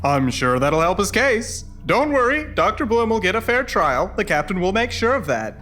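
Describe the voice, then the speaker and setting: High-voiced, earnest young officer acted by a man. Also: sing-song, normal speed, fairly bright tone, slight background noise. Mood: reassuring. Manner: earnest, formal, reasoning. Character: earnest, by-the-book young male military officer. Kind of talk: audio drama acting.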